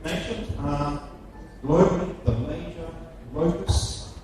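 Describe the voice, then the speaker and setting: An Australian man giving a seminar lecture, speaking slowly with emphatic, repetitive phrasing and heavy stresses. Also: on the high side, darkish tone. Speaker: guest lecturer. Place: large lecture hall. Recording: very echoey hall from far off, noisy.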